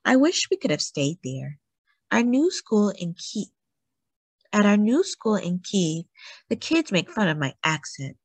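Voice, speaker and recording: A woman reading a children's book aloud for a classroom, slow and careful with lively rises on key words. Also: mid-range voice, husky, no room sound.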